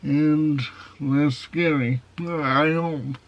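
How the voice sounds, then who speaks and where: A man's voice: a little high, steady tone. A man with a nasal, foghorn-like voice reviewing a movie in a casual video. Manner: slow, measured delivery with plain opinions. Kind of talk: casual film review to camera. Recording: dull, lo-fi sound.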